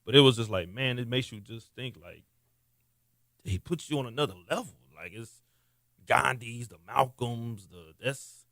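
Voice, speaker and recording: A young man from Texas hosting a podcast, talking loosely and eagerly, often getting loud. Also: medium pitch, moderate pace, no echo, studio quality. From Missouri City, Texas. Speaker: podcast host. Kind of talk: casual interview talk. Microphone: podcast studio mic.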